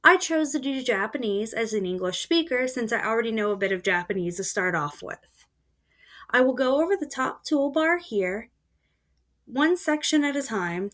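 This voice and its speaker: A woman giving a step-by-step voice-over tutorial of a language learning website. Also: a little high. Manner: steady explanatory voice-over. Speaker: student presenter. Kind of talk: screen-recorded tutorial walkthrough.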